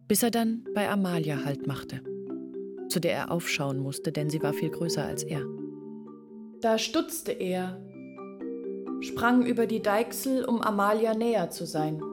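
A woman's voice: fairly low pitch, darkish tone, dry recording, clean studio sound, close to an everyday voice. An actress in a radio play playing a village woman who recounts her family's downfall. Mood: vivid, agitated. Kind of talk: radio play monologue, storytelling. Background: music.

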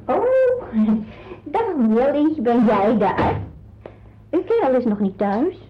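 Motherly, bustling neighbour. Lilting, very varied pitch, homely radio-play chatter on a dull, slightly noisy recording. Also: big pitch swings, slow pace. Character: chatty, motherly working-class neighbour woman.

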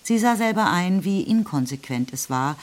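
A woman's voice: lowish voice, animated, normal speed. A woman giving composed, slightly wry literary narration for a German radio play. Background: music.

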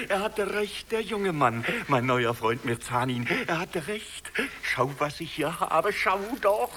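An actor playing an excitable, good-hearted poor merchant in a children's radio play, effusive and doting, repeating himself eagerly. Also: male voice, fairly high pitch, slow pace, few pauses, a little hiss or hum, heavily acted. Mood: overjoyed.

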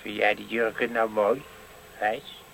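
A man's voice: on the low side, little pitch movement, a little slow, gravelly, fairly bright tone. An actor playing a grumbling, leering old man on a bench in a radio play. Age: older. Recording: faintly noisy 1970s radio recording.

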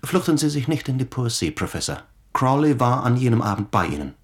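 A man's voice: mid-range voice, very animated, brisk, natural voice. An actor playing a young male newspaper reporter in a radio play, asking pointed, insistent questions. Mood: accusing, pressing. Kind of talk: German radio play acting.

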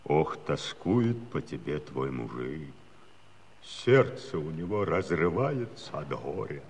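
An actor playing a wheedling, clownish Cossack husband in a comic musical play, with theatrical drawn-out delivery. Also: male voice, rumbling low, sing-song, in a large hall, heavily acted. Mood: wheedling, mock sorrow.

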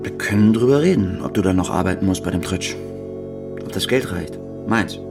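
An actor playing an anxious writer pleading with his partner in a naturalistic radio drama, halting and urgent. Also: low-pitched, creaky, over loud music. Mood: apologetic, conciliatory.

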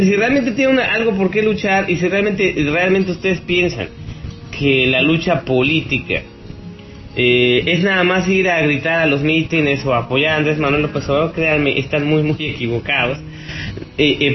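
A man with a Mexican accent giving informal, opinionated political commentary as a radio host, rambling and halting, with blunt scorn. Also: on the high side, lo-fi sound. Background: music.

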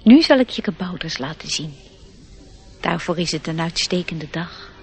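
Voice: mid-range voice, animated, moderate pace, husky, faint music behind. A performer voicing an airy, knowing nature sprite in a radio play, lecturing with disdain for humans. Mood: tutoring, assured.

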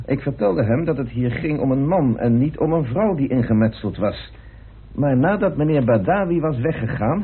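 A man acting in a Dutch radio play as a formal, polite gentleman who chairs an unofficial committee. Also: medium pitch, breathy edge. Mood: reflective. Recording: muffled, tinny old radio recording.

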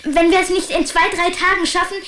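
Radio drama: devoted, anxious schoolboy, very high, earnest, roomy sound with clear noise. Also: female voice, fairly even intonation, a little fast. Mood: urgent, pleading. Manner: urgent, earnest. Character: anxious, devoted young schoolboy Anton, pleading grandson. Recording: echoey room, noisy track with clicks.

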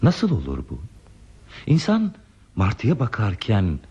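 A solitary, gentle recluse in a radio drama, with a low, soft-edged dark voice. He speaks hesitantly and politely, as if thinking each phrase through. The recording is dull and muffled. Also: wildly swooping pitch, takes it slowly, husky, full character voice. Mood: pensive. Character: lonely, gentle hermit man living in a remote place. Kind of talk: Turkish radio theater acting.

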